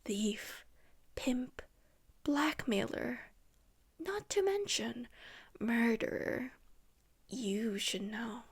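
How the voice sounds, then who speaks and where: A woman's voice: fairly high pitch, extremely slow, long pauses, husky, exaggerated voice. Sneering rogue policeman, as a woman reads him. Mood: menacing. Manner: sneering, whining. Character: menacing rogue male policeman, bitter and self-pitying.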